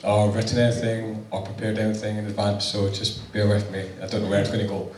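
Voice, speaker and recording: A man with a husky voice giving an unprepared wedding thank-you speech as the groom, hesitant with many ums. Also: on the low side, very noisy. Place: wedding reception hall with guests. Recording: echoey hall, distant mic, loud crowd.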